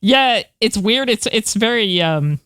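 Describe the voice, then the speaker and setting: A woman's voice: medium pitch, animated, measured pace, fairly bright tone, smooth, dry recording. A woman co-hosting a podcast, talking through science news in a conversational way. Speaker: podcast co-host.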